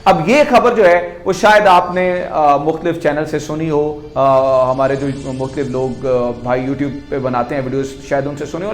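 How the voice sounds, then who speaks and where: A man with a Pakistani accent hosts a conversational political commentary, explaining emphatically and answering his own rhetorical questions. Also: high-pitched. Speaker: host of a news commentary video channel. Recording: echoey, reverberant room sound.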